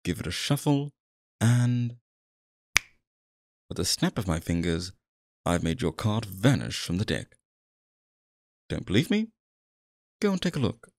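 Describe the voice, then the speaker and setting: Man acting a sinister, theatrical stage magician, animated. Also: lowish voice, creaky, heavily acted. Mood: coaxing, teasing. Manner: theatrical, coaxing, drawn-out phrasing. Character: sinister smooth-talking male stage magician, possessive and courtly. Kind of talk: ASMR roleplay, theatrical acting to the listener.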